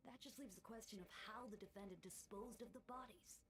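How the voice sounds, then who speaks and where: Casting: actress for female game tribunal official, mid-range voice, even-tempered, formal. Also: medium pitch, lightly acted. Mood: formal, inquiring. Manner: formal, deliberate. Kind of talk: dramatic game voice acting.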